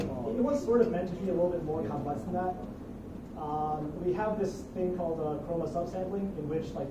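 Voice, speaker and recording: A young man giving an informal technical demo as a student presenter, explaining in a halting, hedging way. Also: sits high. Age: young adult. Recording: noisy roomy capture from far off.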